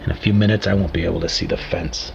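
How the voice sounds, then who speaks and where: Resigned, bitter survivor signing off, halting delivery, dull lo-fi audio drama transmission. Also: male voice, deep voice, fairly even intonation, creaky, slight background noise. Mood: bitter dread. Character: doomed, resigned man sending a final radio transmission. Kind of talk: found-footage audio drama monologue. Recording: muffled lo-fi transmission, faint music.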